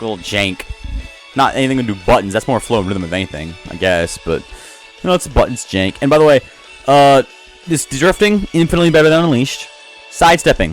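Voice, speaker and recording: A man giving casual, off-the-cuff commentary on a video game, rambling and jumping between asides as the host. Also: medium pitch. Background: game music.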